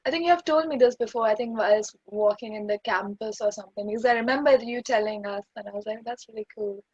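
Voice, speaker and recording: Young woman hosting a chat, lots of yeahs and likes, a bit husky, dull lo-fi sound. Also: on the high side, fast, darkish tone, no room sound. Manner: eager, with many "yeah"s and "like"s. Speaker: interviewer host. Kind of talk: casual interview chat.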